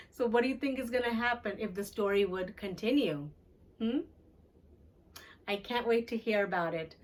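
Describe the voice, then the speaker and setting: A woman's voice: on the high side, fairly bright tone, distant mic. A woman reading a children's story aloud, expressively, addressing young listeners with questions.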